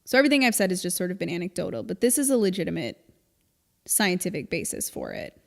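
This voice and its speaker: A woman co-hosting a podcast, explaining things in casual, informal chat with an animated storytelling manner. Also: lowish voice, quick pace, gravelly. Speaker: podcast co-host. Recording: long reverb, hall-like room.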